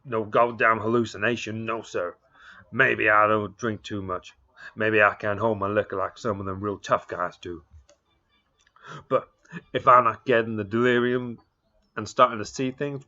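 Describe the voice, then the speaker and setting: A man's voice: fairly low pitch, muffled recording. A man doing a tiny self-pitying Texas cowboy. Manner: loud drawling complaints.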